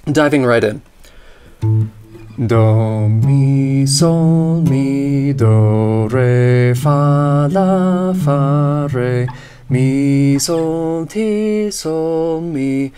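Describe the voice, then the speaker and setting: A man with a slightly raspy voice, teaching ear training: he explains in a relaxed, practical way and sings solfege scales and intervals. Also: medium pitch, drawn-out words, faint music behind. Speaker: music teacher. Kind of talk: instructional talk with sung solfege drills.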